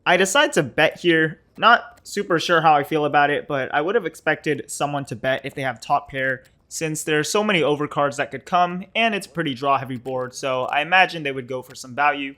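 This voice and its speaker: A man's voice: a little high, brisk, few pauses, pushed and bright. A man in his twenties giving a casual, scripted poker vlog voice-over in a matter-of-fact, explanatory way. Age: 20s. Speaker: poker vlogger and host.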